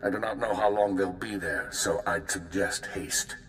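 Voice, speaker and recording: A man's voice: low-pitched, moderate pace, fairly bright tone, faint music behind. A man voicing a stern, honour-bound old warrior in a video game, solemn and formal in his speech.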